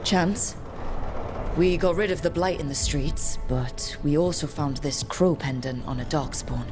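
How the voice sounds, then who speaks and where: Game lead: steady, compassionate hero, notably low voice, direct delivery, score playing behind. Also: female voice, unhurried, music in the background. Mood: concerned. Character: resolute female adventurer hero, calm and compassionate.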